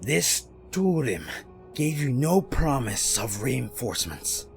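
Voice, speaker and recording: A man's voice: mid-range voice, sing-song, slow, gravelly, faint music behind, voice pushed up, full character voice. A man voicing a proud, resentful male ninja master who growls his scorn. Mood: scornful.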